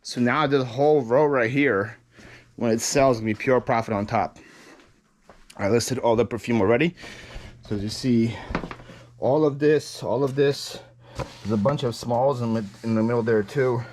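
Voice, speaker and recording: Young male vlogger with a Ukrainian accent, mid pitch, rambling casual delivery full of fillers. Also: moderate pace, pauses often, a little mellow, slight background noise. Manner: loose and rambling, many ums and restarts. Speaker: reseller vlogger. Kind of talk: casual vlog talk. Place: warehouse.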